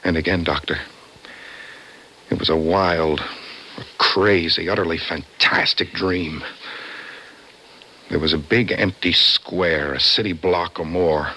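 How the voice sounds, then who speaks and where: An actor playing an obsessed, vengeful office worker, intense and brooding, in an old-time radio suspense drama. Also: male voice, sits low, steady tone, slow, long pauses, bright, forceful tone, full character voice, low-quality recording. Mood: obsessive, intense. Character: obsessed, vengeful office worker confiding his dreams to a doctor. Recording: vintage radio drama, faint music behind.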